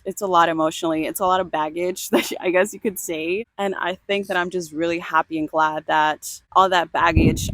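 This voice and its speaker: A woman's voice: lowish voice, dry recording, poor audio. A young woman from Cuba talking candidly to camera outdoors by a beach, emotional and laughing often. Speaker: vlogger. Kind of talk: casual vlog to camera.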